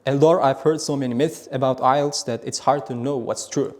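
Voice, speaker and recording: A man's voice: mid-range voice, fairly even intonation, normal speed, a little mellow. A man hosting an interview, putting prepared questions to guests. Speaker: interviewer, host.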